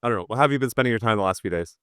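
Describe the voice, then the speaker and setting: Smooth-voiced man hosting an interview. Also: fairly low pitch, rapid-fire, fairly bright tone, dry recording, studio quality. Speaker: interview host. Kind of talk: casual interview chat.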